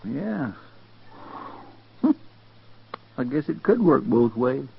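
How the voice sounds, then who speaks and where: An actor playing a nervous, folksy frontier sidekick with rustic grammar in a 1950s radio western. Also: male voice, medium pitch, sing-song, very slow, gravelly, husky, exaggerated voice. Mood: folksy, curious. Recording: dull lo-fi 1950s radio recording.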